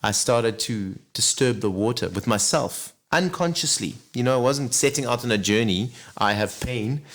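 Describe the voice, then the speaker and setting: A man speaks at a mid pitch, earnest and reflective. He talks as an interview guest and often adds "you know" as he thinks aloud. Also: lively intonation, normal speed. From Zimbabwe. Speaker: guest singer. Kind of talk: casual interview chat.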